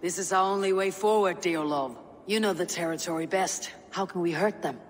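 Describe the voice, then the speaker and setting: An actor playing a calm, strategic Viking clan leader in a video game. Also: male voice, high voice, a little hiss or hum, lightly acted. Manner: measured, diplomatic, firm. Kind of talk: video game cutscene acting.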